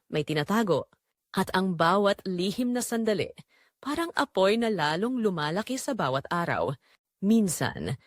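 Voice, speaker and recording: Woman, Filipino accent, dramatic scripted storytelling, very animated intonation, dry recording. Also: mid-range voice, dry recording. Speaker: narrator.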